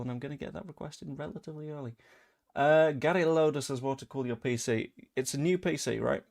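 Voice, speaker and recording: Young man, softened Yorkshire accent, mid-pitched, rambling live-stream chat with dry asides. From Leeds, England. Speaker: fan channel host. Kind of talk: casual live-stream chat reading comments.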